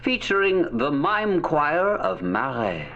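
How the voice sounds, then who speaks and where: A man's voice: on the high side, sing-song, takes it slowly, lo-fi sound. An actor playing a grand showman announcing a radio circus in an old-time broadcast style. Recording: band-limited old radio sound. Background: loud music.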